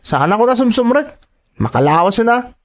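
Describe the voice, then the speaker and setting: A man's voice: very high voice, very animated, unhurried, full character voice. An actor playing a rebellious young man who talks back to his mother in an Ilocano drama. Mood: defiant. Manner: mocking, defiant. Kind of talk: Ilocano radio drama acting.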